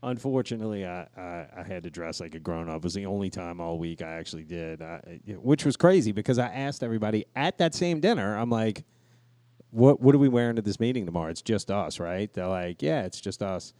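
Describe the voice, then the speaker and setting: A man's voice: on the low side, animated, fairly quick, mellow, no echo. A man co-hosting a podcast, telling crude, swear-filled stories in casual banter. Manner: crude, profanity-laced. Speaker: podcast co-host.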